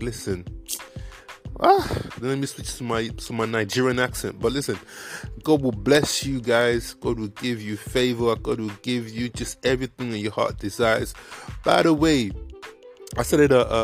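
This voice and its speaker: A young man hosting a podcast, talking casually about faith in an earnest, encouraging way, full of fillers and repeated phrases. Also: medium pitch. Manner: earnest, repetitive, encouraging, preachy; heavy fillers like "like" and "you know what I mean". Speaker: podcast host. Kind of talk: casual faith talk to viewers.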